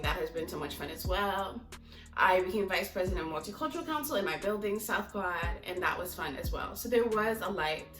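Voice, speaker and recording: A woman's voice: fairly low pitch, a bit bright, recorded from a distance. Young american woman vlogging, chatty n candid, kinda rambly, echoey room w music behind. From United States (Maryland), teens in Mozambique. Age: young adult. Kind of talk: casual chat to camera.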